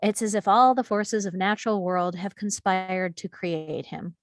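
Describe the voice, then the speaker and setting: A woman's voice: mid-range voice, steady tone, measured pace, no echo. Woman narrating a screenplay, dry sound. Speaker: reader of stage directions. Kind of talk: scripted narration of screenplay action.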